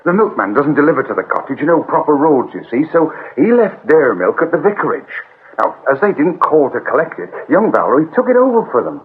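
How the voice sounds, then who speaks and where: On a thin, phone-like radio recording, a deferential local policeman. His fairly high male voice moves up and down animatedly as he chattily helps his superior. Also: big pitch swings, brisk. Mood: chatty, informative. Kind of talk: radio drama acting. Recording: muffled phone-like radio drama recording, faint noise.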